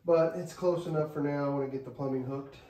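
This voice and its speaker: A man's voice: on the high side, steady tone, quick pace, dark tone, a little hiss or hum. A man explaining plumbing work plainly and practically while working in an unfinished basement. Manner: plain, practical, matter-of-fact. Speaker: co-host doing the plumbing. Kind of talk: casual DIY explanation.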